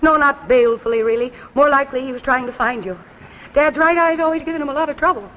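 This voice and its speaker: An actress plays a wry young woman, a professor's daughter, in a vintage radio drama. Her voice is high and teasing, on a dull, echoing old recording. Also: quick pace, natural voice. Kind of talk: 1950s radio drama acting. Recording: dull reverberant old radio, faint noise.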